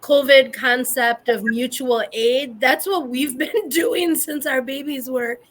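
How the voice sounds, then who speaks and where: Midwest woman, first language spanish, earnest, lots of ums, higher-ish voice, video call. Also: fairly bright tone. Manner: reflective and earnest, many filler pauses. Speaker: guest panelist, community organizer. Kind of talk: casual panel chat.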